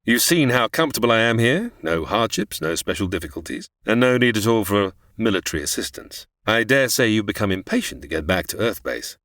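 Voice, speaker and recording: A pompous, reclusive man of science, played by an actor, speaking formally with theatrical swoops. The mid-pitched voice is recorded close and dry for a sci-fi radio parody. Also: very animated, a little fast, few pauses, fairly bright tone, dry recording. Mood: smooth, dismissive. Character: learned, condescending reclusive male scientist on remote planet. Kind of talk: audio drama parody of 1950s sci-fi film.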